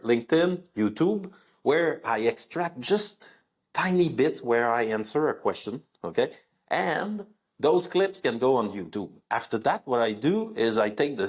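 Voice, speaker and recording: A young man with a French accent is talking through his plans on his own live podcast, speaking English as a second language. His voice sits at a medium pitch, and he keeps it loose and chatty, with plenty of fillers. He leans up in pitch on the words he wants to stress. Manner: conversational, many fillers, rising emphasis on key words. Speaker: podcast host. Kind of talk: casual solo talk on a live podcast.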